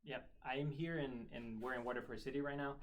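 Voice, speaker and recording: A man's voice: medium pitch, fairly even intonation, fairly quick, a little hiss or hum, poor audio. Chatty young Spanish-accented man. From Spain. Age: 20s. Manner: friendly, chatty, repeats and restarts words. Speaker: interviewee, member of a religious order. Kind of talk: casual interview chat. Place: school in Ireland.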